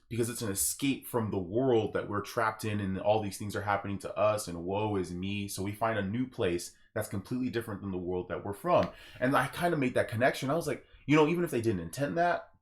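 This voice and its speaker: A man's voice: lowish voice, fairly quick. A man hosting a casual podcast discussion, talking reflectively and telling things through imagined quotes. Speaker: podcast host.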